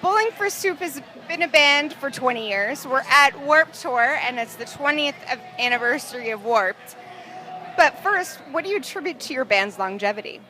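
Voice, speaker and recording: Bright-voiced woman interviewer. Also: sits high, animated, normal speed, slight background noise, rough recording. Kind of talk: casual interview questions. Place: outdoors at a music festival.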